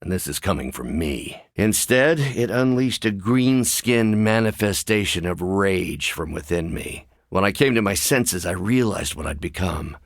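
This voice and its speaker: An actor playing a brooding, polite and intellectual scientist hero in a video game, speaking in a measured, earnest, hesitant way. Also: male voice, low voice, animated, no echo, studio quality. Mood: troubled, remorseful.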